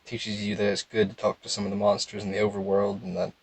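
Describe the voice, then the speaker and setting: A young man with a calm, low voice, giving casual, rambling game commentary in a laid-back monotone. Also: fast talker, slightly rough. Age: young adult. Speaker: gaming video host.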